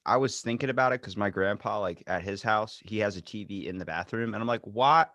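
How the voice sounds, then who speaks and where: A man's voice: fairly low pitch, brisk, fairly bright tone, dry recording. Swearing, storytelling man podcast host. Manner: loose, swearing, tells stories with quoted dialogue. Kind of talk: casual chat.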